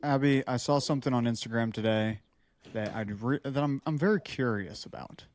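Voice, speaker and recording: Male podcast co-host, mid-pitched, playful and conversational, close dry recording. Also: brisk, no room sound. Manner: playful riffing. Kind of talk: casual podcast banter.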